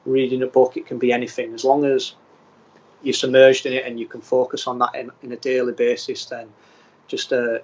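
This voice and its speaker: English footballer on a dull lo-fi line. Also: male voice, mid-range voice. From England. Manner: plain, steady, with frequent ums. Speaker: footballer guest. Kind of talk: casual interview answers.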